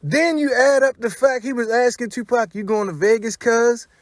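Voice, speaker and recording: A man's voice: extremely high pitch, steady tone, moderate pace, no echo, studio quality. A man hosting an online show, giving informal, opinionated hip-hop commentary in slangy, profane, emphatic phrases with repetition.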